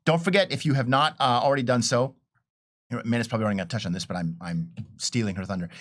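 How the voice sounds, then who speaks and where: A man's voice: mid-range voice, rapid-fire, fairly bright tone. A man on a casual panel, talking informally and enthusiastically as a game designer.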